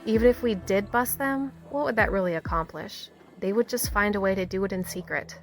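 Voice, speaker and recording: A woman playing a calm, pragmatic small-town police officer in an audio drama, speaking gently and reassuringly. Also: mid-range voice, brisk, natural voice. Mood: frank, pragmatic. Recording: music under the voice.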